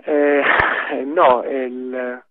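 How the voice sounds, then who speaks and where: Slow, halting man on a phone line. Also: mid-range voice, flat delivery, a little hiss or hum. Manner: halting, many fillers, slow and deliberate. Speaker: interview guest on phone. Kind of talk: phone interview, explaining at length.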